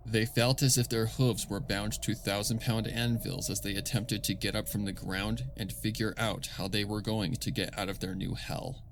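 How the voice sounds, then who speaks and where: A man narrating scripted fan fiction as a storyteller, measured and solemn in his delivery. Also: on the low side, steady tone, noisy background.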